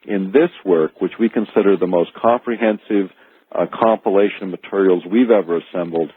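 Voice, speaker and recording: An American man speaking as an author guest in a radio interview, explaining earnestly and haltingly, repeating words as he goes. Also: low-pitched, slightly rough, dry recording. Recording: narrow phone-like archive radio audio.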